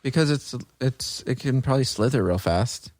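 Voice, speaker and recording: Casual male podcast co-host, mid-range voice, joking and quick to giggle, dry sound. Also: medium pitch, animated, a little mellow, no room sound. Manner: giggly, often laughing through words.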